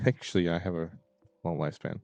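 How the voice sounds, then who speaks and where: A man's voice: low voice, fairly even intonation, fast, a little mellow. A man livestreaming a game, giving casual commentary over it. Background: game music.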